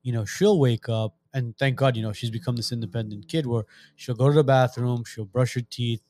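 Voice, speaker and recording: A man's voice: medium pitch, fairly even intonation, fairly quick, a little mellow. A man in his thirties, a father of two, co-hosting a podcast and talking in a rambling, easygoing way. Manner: rambling, restarts sentences, easy laughter. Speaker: podcast co-host. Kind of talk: casual podcast chat.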